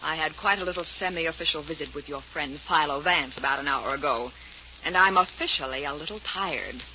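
A woman playing a self-assured, defensive young actress in a 1940s radio drama, answering in a crisp, assertive manner. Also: low voice, fairly quick, sharp tone. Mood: cool, weary. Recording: noisy, muffled 1940s radio recording.

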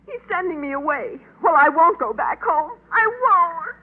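A woman's voice: extremely high pitch, lively intonation, normal speed, narrow, tinny audio. An actress playing a heartbroken, desperate young woman who is crying, in a 1940s radio play. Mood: sobbing, desperate. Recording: scratchy old radio broadcast.

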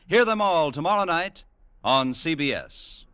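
Bright, animated American vintage radio announcer man. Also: on the high side, unhurried, clean tone, no echo, muffled recording. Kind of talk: 1940s-50s radio announcing.

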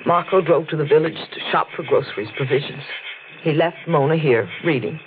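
A woman acting an intense, troubled, secretive young woman in a 1940s radio melodrama, with deliberate, dramatic phrasing. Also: low-pitched, close to an everyday voice. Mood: somber recounting. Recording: faintly noisy, narrow-band old radio recording.

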